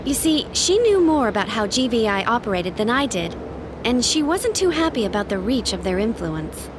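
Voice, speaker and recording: Self-assured young female racer, lively higher voice. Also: moderate pace. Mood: reflective. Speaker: protagonist and narrator. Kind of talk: video game cutscene acting and narration. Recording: clear background vehicle noise.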